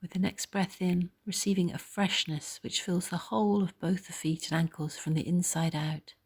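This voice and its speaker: A woman leading a guided body scan meditation in a soft, gentle, soothing way, pausing between phrases. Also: on the low side, fairly even intonation, husky.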